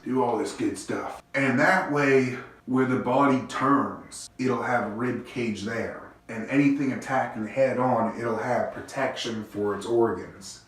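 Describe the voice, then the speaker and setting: Male host with a stuffy cold, low-energy rambling musings, very echoey bathroom recording, a bit off-mic. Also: unhurried, few pauses, recorded from a distance. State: congested, stuffy-nosed. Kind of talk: casual speculative monologue. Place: small home bathroom.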